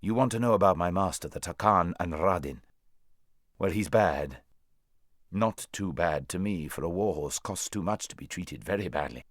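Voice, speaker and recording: Storybook war horse, played by a man: low-voiced, haughty, worldly, lectures grandly with wide swings in intonation. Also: lively intonation, moderate pace, dry recording, studio quality. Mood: brisk, persuasive. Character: proud male talking war horse, worldly, scornful, bossy.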